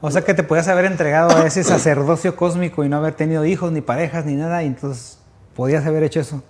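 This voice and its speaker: Male host, rapid delivery, interjects and completes the guest's thoughts. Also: on the high side, steady tone, darkish tone. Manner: quick backchanneling, finishes guest's sentences. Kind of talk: casual interview.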